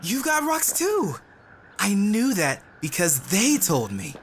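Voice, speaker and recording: A slow, eerie, fixated soul. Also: female voice, medium pitch, wildly swooping pitch, slight background noise. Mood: eerie, insistent. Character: odd, eerily fixated hearer of unseen voices. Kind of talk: audio drama acting.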